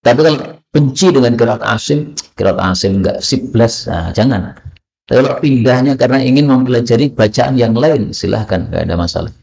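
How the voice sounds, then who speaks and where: A man with a gravelly, fry-laced voice at an ordinary pitch leads a religious study lesson. He works through texts line by line, often asking his listeners a question and then answering it himself. The recording sounds muffled and lo-fi. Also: darkish tone. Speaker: Islamic teacher. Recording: dull, lo-fi recording.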